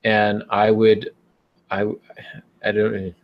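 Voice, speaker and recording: A man hosting a casual advice discussion, speaking in a measured, hesitant and reassuring way. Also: fairly low pitch, monotone, muffled recording. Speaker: podcast host.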